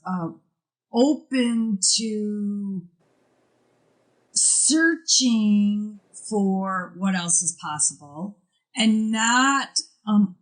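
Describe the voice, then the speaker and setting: A woman's voice: mid-range voice, rough recording. A middle-aged woman on a podcast panel, speaking reflectively and repeating her questions for emphasis. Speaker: podcast guest. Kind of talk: casual group chat.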